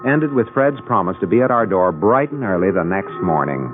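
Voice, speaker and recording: A man's voice: low-pitched, lively intonation, fairly quick. An actor playing a weary, wry American husband and father in a mid-century radio drama, dry and a little grandiloquent. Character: wry, weary married lawyer and father, narrating his ordeal. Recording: tinny band-limited old radio broadcast, music behind.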